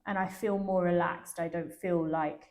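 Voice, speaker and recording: Young english woman, lowish voice, chatty and laughy, lots of likes and ums. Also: steady tone, normal speed, a little mellow. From England. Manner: chatty, laughs easily, many fillers. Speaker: guest. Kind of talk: casual interview chat.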